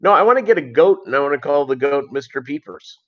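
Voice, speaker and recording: A man hosting a casual livestream, chatting and answering viewers in a rambling, halting, conversational way. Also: a little high, expressive. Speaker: livestream host. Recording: dull, lo-fi livestream audio.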